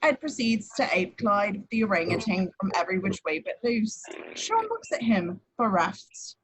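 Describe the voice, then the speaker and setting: A woman's voice: medium pitch, very animated, moderate pace, slightly rough, rough recording. A woman reading out screenplay stage directions as scripted narration in a table read. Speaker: action description reader.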